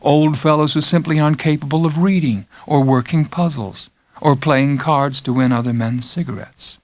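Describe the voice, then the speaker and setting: Man voicing a coldly calm, condescending head nurse. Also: on the high side, moderate pace, no echo, natural voice. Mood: calmly reproving. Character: formidable middle-aged female head nurse, coldly pleasant, controlling. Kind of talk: audiobook character acting.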